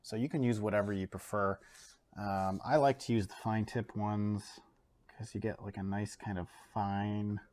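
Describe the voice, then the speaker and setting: A relaxed Canadian man with a fairly low voice talks a viewer through a drawing, pausing with easy fillers as he works. He sounds like he is demonstrating at a table in his home studio. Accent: Canadian English. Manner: relaxed, thinks aloud with fillers while drawing. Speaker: art educator. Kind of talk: casual art demonstration.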